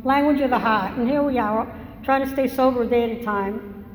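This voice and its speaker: Brief: older woman, Massachusetts accent, slightly high voice, frank storyteller, roomy hall with faint hiss. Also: fairly quick. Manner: candid, rambling, self-deprecating humor. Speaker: speaker at a recovery meeting. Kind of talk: personal testimony talk. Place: meeting hall. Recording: echoey hall, faint noise.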